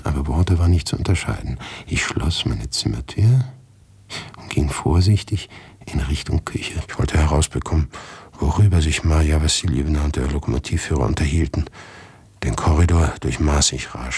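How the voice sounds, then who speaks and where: A man playing an anxious, obsessive writer who narrates a macabre tale in a radio play, tense and deliberate, often dropping to a whisper. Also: very deep voice, darkish tone, husky, dry recording, full character voice. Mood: wary. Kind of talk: dramatic first-person literary narration in a radio play.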